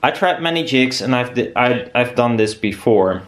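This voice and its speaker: A man's voice: mid-range voice, lively intonation, normal speed. A man giving a casual workshop demonstration as a DIY maker, rambling and thinking aloud as he goes. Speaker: DIY maker presenting a build video.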